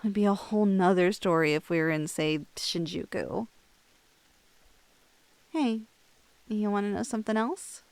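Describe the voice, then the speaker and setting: A young woman playing a shy, lovestruck cosplayer in an intimate ASMR roleplay, with girlish slangy speech and a halting, bashful manner, close on the mic. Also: mid-range voice, a little mellow, dry recording, lightly acted. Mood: wistful, hesitant. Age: young adult. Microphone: close, dry studio-style microphone.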